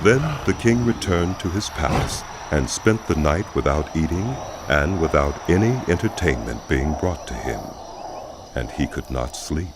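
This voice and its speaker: A man narrating a dramatized audio Bible in a measured, solemn way, with music behind. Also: extremely low pitch, background noise.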